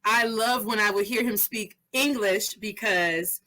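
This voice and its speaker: A woman's voice: medium pitch, a little slow, bright, forceful tone, poor audio. A woman in her thirties hosting a podcast interview, talking animatedly and mixing Spanish phrases into her English. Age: 30s. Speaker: podcast host. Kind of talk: casual interview chat.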